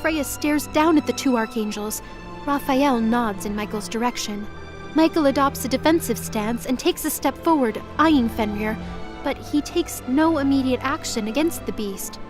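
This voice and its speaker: High-voiced woman narrating drama. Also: normal speed. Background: music.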